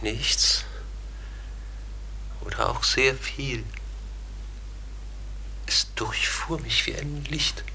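An actor playing a melancholy, pensive man in a radio play, speaking haltingly and often sinking to a near-whisper. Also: mid-range voice, pushed and bright, heavily acted, poor audio. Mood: wistful, hushed. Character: melancholy brooding man who believes he was a raven. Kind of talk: German radio play acting.

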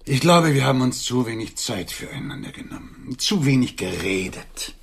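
A man's voice: medium pitch, very animated, moderate pace, gravelly, a little hiss or hum. An actor in a radio drama playing a stiff, self-important middle-aged businessman with stilted, formal speech. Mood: subdued. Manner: stilted, pompous formal courtesy, turning brusque.

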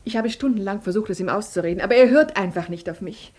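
A woman's voice: mid-range voice, quick pace, darkish tone, natural voice. An actress playing an anxious woman who urgently pleads for help for a friend, insistent and pleading, in a 1950s radio drama.

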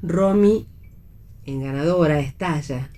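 A woman narrates a radio play, reading out stage directions. Her voice is very low and mellow. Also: slow pace. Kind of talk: scripted narration for read radio theatre.

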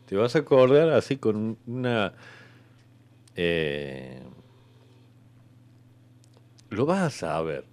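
A man with a Rioplatense Argentine accent playing God as a hesitant, bureaucratic afterlife clerk in radio comedy, rambling with searching pauses. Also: fairly low pitch, very animated, a little mellow, dry recording, exaggerated voice. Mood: hesitant, explanatory. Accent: Rioplatense Argentine Spanish. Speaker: comedy actor in a radio sketch. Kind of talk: improvised radio comedy dialogue.

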